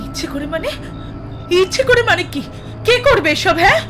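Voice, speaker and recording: Woman acting very high-pitched distraught woman. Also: expressive, normal speed, music in the background. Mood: alarmed. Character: distraught, accusing young woman in a thriller.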